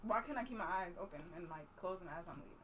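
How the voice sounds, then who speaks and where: A woman's voice: fairly low pitch, animated, fast talker, noisy background. A young woman chatting casually and skeptically in slang on a bedroom livestream. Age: young adult. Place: small bedroom, indoors.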